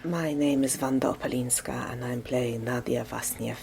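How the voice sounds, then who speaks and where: Very deep, creaky-voiced woman. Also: steady tone, moderate pace, a little mellow. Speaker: actress. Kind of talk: behind-the-scenes interview.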